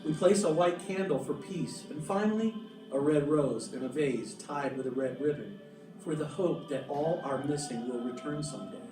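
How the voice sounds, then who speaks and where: A man reading the part of a gentle, solemn mother in a storybook, explaining earnestly and in measured phrases to her children. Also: fairly high pitch, animated, natural voice. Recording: roomy echo, distant mic, music behind.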